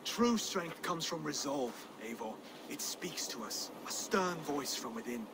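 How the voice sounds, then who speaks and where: High-voiced, earnest young lordling. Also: male voice, a little slow. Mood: earnest, nervous. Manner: genteel, wordy, hesitant, excitable. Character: soft, earnest, hesitant Saxon would-be king, good-humoured. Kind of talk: video game cutscene acting. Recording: game ambience, clear background noise.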